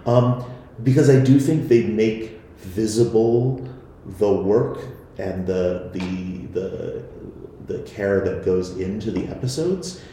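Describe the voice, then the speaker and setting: A man's voice: lowish voice, slow pace, warm tone. A man on a discussion panel, talking in an earnest, considered way. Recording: echoey room, faint noise.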